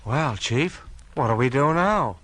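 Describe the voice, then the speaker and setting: A man's voice: medium pitch, lively intonation, measured pace, fairly bright tone. An actor playing an eager, folksy American small-town policeman in a 1940s radio mystery, slangy and full of exclamations. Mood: puzzled, eager. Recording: vintage 1940s radio recording.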